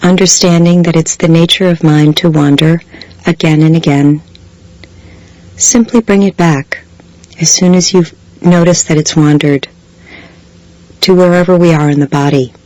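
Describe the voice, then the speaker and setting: A woman guiding a body scan meditation in a slow, measured way, leaving long pauses between phrases. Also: deep voice, fairly even intonation, darkish tone, no echo, low-quality recording. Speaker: meditation guide. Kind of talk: guided body scan meditation, scripted soothing instruction.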